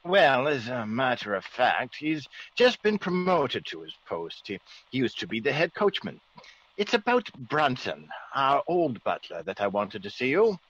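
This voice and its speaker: An actor playing a genial, excitable aristocratic country squire, well-bred and blustering, in classic radio drama. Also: male voice, mid-range voice, measured pace. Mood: confiding. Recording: dull, faintly noisy old radio recording.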